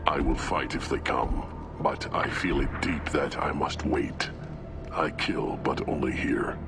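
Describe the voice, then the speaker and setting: A hulking, newly bred alien warrior, with an extremely low voice. He speaks slowly in blunt, halting phrases, solemn and oddly childlike. It is video game acting with loud music underneath. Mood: solemn, brooding. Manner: halting, simple, blunt phrases with odd wording.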